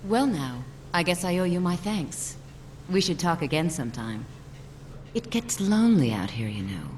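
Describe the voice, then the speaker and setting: A woman's voice: lowish voice, very animated, normal speed, darkish tone, a little hiss or hum. An actress playing a lonely, smitten woman in a video game, with tender, yearning delivery. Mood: grateful, inviting. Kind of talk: scripted game dialogue.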